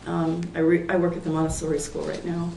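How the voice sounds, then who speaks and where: A woman's voice: fairly low pitch, completely flat delivery, quick pace, creaky, distant mic. A woman in her sixties, from Portland, Oregon, who is losing her voice, explaining her plans in a rambling, self-correcting way at a public meeting. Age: 60s. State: losing her voice, clearing her throat. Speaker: applicant presenting a plan at a public meeting. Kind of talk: unscripted explanation with many fillers. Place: community meeting room, indoors. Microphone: table microphone, some distance off. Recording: echoey room, voice away from mic, faint noise.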